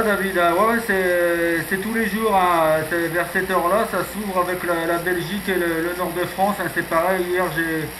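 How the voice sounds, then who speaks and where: Echoey, high-pitched man on amateur radio. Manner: frequent verbal tags and radio jargon. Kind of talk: casual radio contact chat. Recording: echoey, noisy shortwave radio contact recording.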